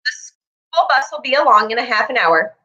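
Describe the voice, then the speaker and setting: Storybook farm mother, practical, brisk, unsentimental, done by a woman reading aloud. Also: fairly high pitch, animated, bright, forceful tone, lo-fi sound. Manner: practical, no-nonsense.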